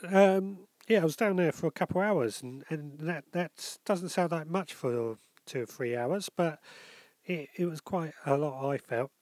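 A man's voice: on the high side, measured pace, a little mellow, dry recording. A man with a bunged-up cold, hosting a casual solo podcast and rambling with lots of ums and self-corrections. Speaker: podcast host.

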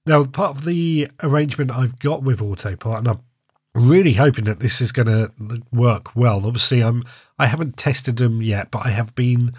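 A man's voice: mid-range voice, moderate pace, darkish tone, slightly rough, no echo, clean studio sound. Congested man hosting a podcast. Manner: chatty and rambling, often restarts phrases. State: congested, stuffy nose and sore throat. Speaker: podcast host. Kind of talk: casual solo podcast monologue.

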